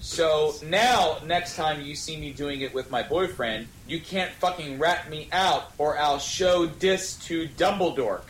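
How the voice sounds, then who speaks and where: A man's voice: fairly high pitch, measured pace, few pauses, fairly bright tone. A man co-hosting a podcast, reading fan fiction aloud in a mock-dramatic way and exclaiming the dialogue. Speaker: podcast co-host. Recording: roomy sound with faint noise.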